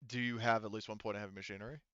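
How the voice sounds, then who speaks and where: A man's voice: fairly low pitch, level tone, rapid-fire, a bit bright, clear, resonant tone, no room sound, highs cut off. A man casually narrating a tabletop game as game master, explaining things in a rambling, informal way.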